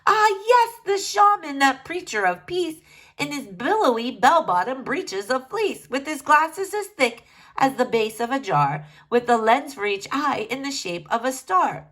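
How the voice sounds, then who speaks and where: A woman's voice: sits high, sing-song, unhurried, fairly bright tone. A woman reading a rhyming children's story aloud, theatrically, with sing-song emphasis. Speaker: storybook reader.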